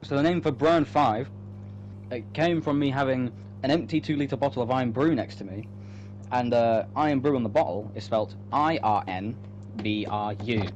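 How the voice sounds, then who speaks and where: Guy rambling about his own story, muffled mic, loud hum behind him. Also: medium pitch, normal speed. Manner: rambling, explanatory asides. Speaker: story author, co-reader. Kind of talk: casual chat during a table read of own story.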